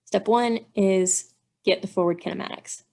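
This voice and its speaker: A woman's voice: medium pitch, moderate pace, gravelly, darkish tone. A woman engineering instructor giving a methodical, step-by-step walkthrough, thinking aloud as she works through math.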